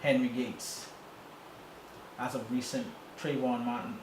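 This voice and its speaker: A man who is co-hosting a casual talk show and tells stories in an animated way. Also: mid-range voice, unhurried. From Bahamas. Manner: animated storyteller, mimics voices. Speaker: podcast co-host. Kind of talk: casual opinion chat. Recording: echoey room with clear background noise.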